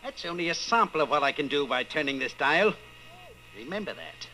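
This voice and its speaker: An actor playing a coldly patient, menacing party inquisitor in old-time radio style, measured, condescending and commanding. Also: male voice, a little high, lively intonation, bright, forceful tone, poor audio. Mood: controlled menace.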